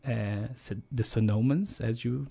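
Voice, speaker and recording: A man's voice: medium pitch, fairly even intonation, measured pace, vocal fry, dark tone, husky. Earnest Brazilian man with fillers. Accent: Brazilian Portuguese. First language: Portuguese. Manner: hesitant, many fillers and restarts, earnest. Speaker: guest. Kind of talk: casual interview conversation.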